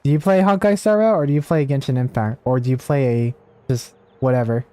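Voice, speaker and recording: A man's voice: medium pitch, expressive, a little fast, dark tone. A man streaming a video game, chatting casually with viewers as he comments on what he plays. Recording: game music behind the voice. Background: video game music.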